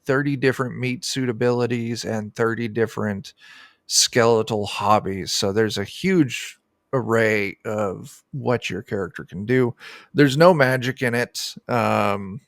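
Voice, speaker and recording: A man's voice: medium pitch, measured pace, creaky, no room sound, clean studio sound. A middle-aged man talking casually as a guest game designer in an interview over a video call. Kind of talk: casual interview chat about a tabletop game. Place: indoor room on a video call.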